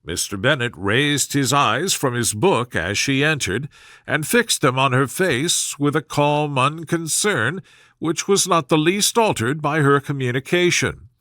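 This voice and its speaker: A man reading a classic novel as a bedtime sleep story, in measured, deliberate scripted narration. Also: fairly high pitch, bright, forceful tone, dry recording, studio quality. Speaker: audiobook narrator.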